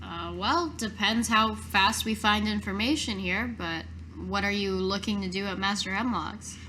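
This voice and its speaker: A woman's voice: medium pitch, normal speed, sharp tone, a little hiss or hum, poor audio. A woman playing a tabletop game, improvising casually in character.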